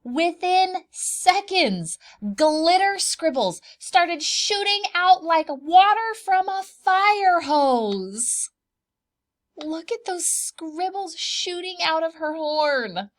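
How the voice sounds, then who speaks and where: This is a woman with a high voice reading a children's storybook. Her delivery is very animated, swinging up and down in pitch. She plays up the drama and turns to the young listeners with questions, as a preschool teacher does. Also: extremely slow. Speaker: preschool teacher reading a picture book aloud. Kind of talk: animated children's storybook read-aloud with asides.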